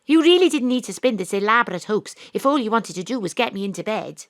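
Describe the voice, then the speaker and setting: A woman's voice: mid-range voice, animated, fast talker, a bit bright, no room sound, clean studio sound. A woman voicing a brash private eye who was once a Welsh rugby star, narrating her own noir story with a wry tone. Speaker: narrator and detective. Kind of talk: noir audio drama narration. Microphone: home studio mic.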